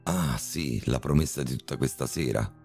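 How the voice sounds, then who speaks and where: A man playing a sadistic surgeon villain in a horror tale, who speaks with cold, polite mockery. The voice sits a little raised but stays dark and husky, with faint music behind. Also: extremely low pitch, moderate pace, exaggerated voice. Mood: icy calm.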